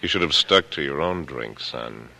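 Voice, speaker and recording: Cold, condescending killer, American, 1940s radio thriller. Rock-bottom pitch with lively, swooping inflection; taunting and calculating; faintly noisy vintage recording. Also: male voice, moderate pace, gravelly, full character voice. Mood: gloating menace. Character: coolly menacing older killer, smug, patronizing and calculating. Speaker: radio actor. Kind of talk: 1940s radio drama acting.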